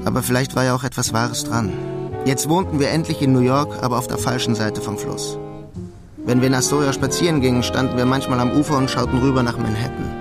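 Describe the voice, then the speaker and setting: An actor playing a wry, resigned immigrant who narrates a radio drama in a dry, laconic way. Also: male voice, medium pitch, fairly even intonation, fast talker, loud music behind the voice, lightly acted. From Germany. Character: wry, resigned German immigrant man in New York. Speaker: first-person narrator.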